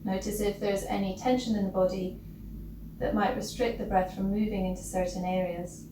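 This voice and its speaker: A woman leading a guided breathing meditation as a teacher, gentle and instructional, leaving long pauses between short phrases. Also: mid-range voice, steady tone. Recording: roomy, distant mic with loud background noise.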